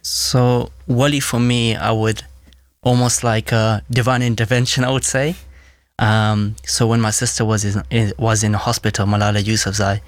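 A man's voice: fairly low pitch, flat delivery, moderate pace, no echo. A young man from Pakistan whose first language is Pashto, speaking casually and reflectively as an interview guest. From Swat Valley, Pakistan. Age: young adult.

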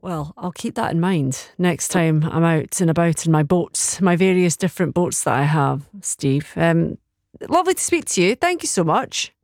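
Low-voiced woman radio host, dry studio. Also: expressive, a little mellow, dry recording. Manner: unhurried, musing questions with fillers. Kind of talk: phone-in radio interview.

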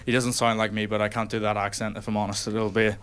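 A young man with a lowish voice tells a stand-up story to a live audience. His asides are dry and self-deprecating. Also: level tone, fast, fairly bright tone, smooth. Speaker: stand-up comedian. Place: comedy venue with live audience.

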